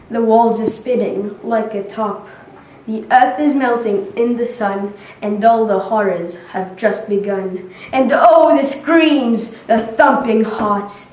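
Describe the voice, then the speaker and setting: Girl around ten, dramatic recitation, deliberate slow delivery, roomy echo, off-mic. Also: a little high. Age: child, about 9 or 10. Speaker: school pupil reciting. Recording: echoey room, distant mic, faint noise.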